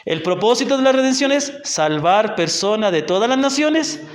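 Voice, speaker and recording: A Chilean man with a high voice leads a Bible class as a missionary teacher. He talks patiently, putting questions to his listeners and checking that they follow. His voice carries through an echoey church hall. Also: lively intonation, normal speed. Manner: patient, asks the group questions, often checks with "¿ya?". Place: church hall in a rural community.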